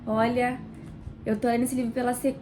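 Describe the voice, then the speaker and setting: Congested Brazilian woman, high-pitched. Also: flat delivery, soft background music, rough recording. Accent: Brazilian Portuguese. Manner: warm, chatty, addresses viewer directly with pauses. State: nasal and congested from a cold. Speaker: book club host. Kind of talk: casual devotional reading vlog. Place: small home kitchen.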